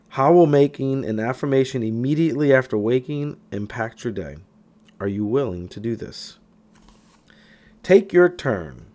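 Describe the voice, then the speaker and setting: A man's voice: medium pitch, darkish tone. A man reading a self-help audiobook in an emphatic way, now and then stumbling over a word. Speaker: audiobook reader. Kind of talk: scripted audiobook narration of a self-help text.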